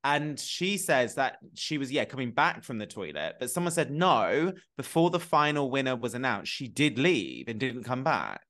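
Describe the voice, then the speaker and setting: A man's voice: mid-range voice, normal speed, few pauses. A man, chatting casually and expressively on a video call from home. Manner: expressive, opinionated, gestures while talking. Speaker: co-host. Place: home room.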